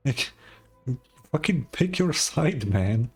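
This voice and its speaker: Husky young man doing sweary game commentary. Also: on the high side, lively intonation, slow. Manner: blunt, sweary, sarcastic. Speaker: game streamer. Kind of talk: casual reaction commentary over a game.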